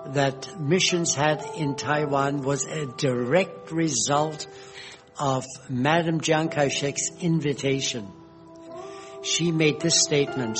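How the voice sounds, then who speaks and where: An older man telling stories from his years abroad, unhurried and gentle, pausing often. His voice sits a bit high for a man, with soft music faintly underneath. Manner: slow, gentle storytelling with fillers. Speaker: retired missionary. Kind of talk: reminiscing personal history.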